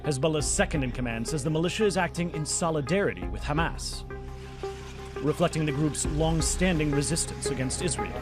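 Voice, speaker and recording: Guy doing news explainer voiceover, voice a bit high, music behind him. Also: lively intonation, brisk. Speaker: news correspondent narrator. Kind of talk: scripted news explainer narration.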